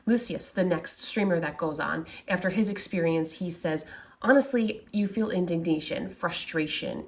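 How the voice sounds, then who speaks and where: A woman presenting conversational commentary, with wry asides and rhetorical questions. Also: fairly low pitch.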